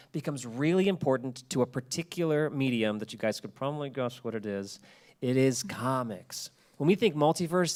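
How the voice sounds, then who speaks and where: A man giving an informal public lecture in a chatty, colloquial storytelling way with asides. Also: mid-range voice. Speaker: presenter at a public talk.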